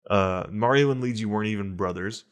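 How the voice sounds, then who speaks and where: A man hosting a podcast, chatting casually and jokily in an animated, slangy way. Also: lowish voice, fairly quick. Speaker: podcast host. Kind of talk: casual comedic banter.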